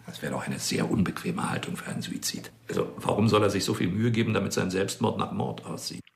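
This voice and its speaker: Analytical investigator in a crime radio play, super creaky low voice, matter of fact. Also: male voice, lively intonation, quick pace, slight background noise. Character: analytical investigator weighing suicide against murder. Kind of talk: naturalistic German radio crime drama.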